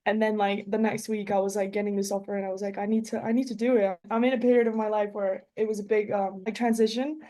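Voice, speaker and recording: A young woman from Colombia talks through her football life as a podcast guest. Her voice is warm and relaxed, sitting at a middle pitch. She rambles a little, with fillers and restarts. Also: quick pace, hardly any pauses. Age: 20s. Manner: chatty, many fillers and restarts. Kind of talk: casual interview chat.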